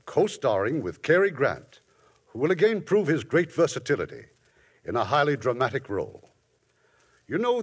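A man hosting an old radio theatre show, introducing the play and reading the sponsor's message in a polished, promotional manner. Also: mid-range voice. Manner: smooth, promotional, lively delivery. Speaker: producer and host. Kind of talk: formal 1950s radio introduction.

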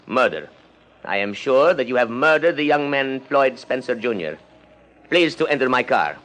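Casting: man for boastful, imperturbable sleuth, formal and deliberate, very varied intonation, 1950s radio serial. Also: mid-range voice, animated, a bit bright, lightly acted, rough recording. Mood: coolly commanding. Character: pompous, unflappable foreign male confidential investigator. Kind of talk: 1950s American radio drama acting.

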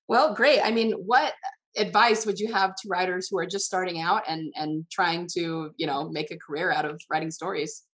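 A woman's voice: lowish voice, steady tone, normal speed, a bit bright. A woman hosting a casual interview in a chatty, affirming, conversational way. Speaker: interview host, author.

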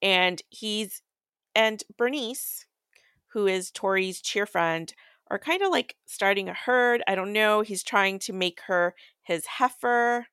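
A young woman podcast host with a medium-pitched voice, recorded close and dry. She chats casually through a book recap, irreverent and crude, swearing freely. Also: slow pace, fairly bright tone, smooth, dry recording.

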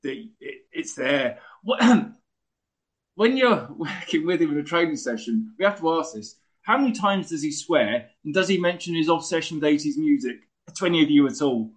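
A man joining a football podcast as a fan guest, with a slightly higher voice than the other speakers. He talks casually and with good humour as he puts his questions. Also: lively intonation, moderate pace. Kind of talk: casual chat.

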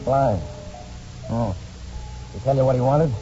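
An actor playing a bitter, reclusive war veteran, curt and defensive, in 1940s radio drama style. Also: male voice, medium pitch, measured pace, dark tone, soft background music, close to an everyday voice. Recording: muffled old radio broadcast.

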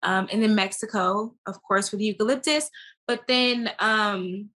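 Bright-voiced American woman chatting casually. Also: medium pitch, moderate pace. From United States. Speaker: interview guest. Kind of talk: casual conversational interview.

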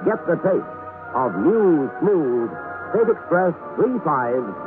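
A man's voice: on the high side, big pitch swings, slow. A man announcing for a 1960s radio sponsor with dramatic, polished salesmanship. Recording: tinny band-limited old radio recording. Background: music.

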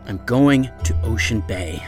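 An actress playing a bewildered seeker chasing a mystery in a dramatic audio drama trailer. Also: female voice, a bass voice, expressive, unhurried. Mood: confused, urgent. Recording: voice under loud trailer music.

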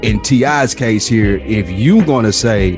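A man's voice: fairly low pitch, expressive, measured pace, over music. A man co-hosting a podcast in casual debate, speaking bluntly with slang and swearing. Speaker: podcast co-host.

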